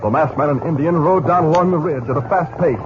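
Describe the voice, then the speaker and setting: An actor narrating a 1950s radio western in a dramatic, formal announcer's delivery. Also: male voice, a little high, fast, music in the background, close to an everyday voice. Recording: dull 1950s radio recording.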